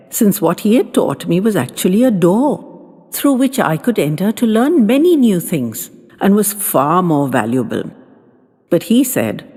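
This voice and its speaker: A woman narrates an audio story in a soft, mellow voice with animated, swooping intonation. Her delivery is expressive and conversational. Long reverb trails her words and faint music plays behind. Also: low voice, slightly rough. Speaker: storyteller reading a first-person story. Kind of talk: scripted audiobook-style narration of a slice-of-life story.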